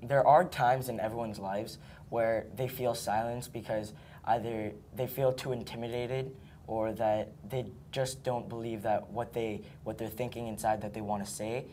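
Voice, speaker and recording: A man's voice: medium pitch, fairly even intonation, moderate pace, mellow, a little hiss or hum. Earnest eighth-grade boy, searching for words. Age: young teen. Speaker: student. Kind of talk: classroom interview.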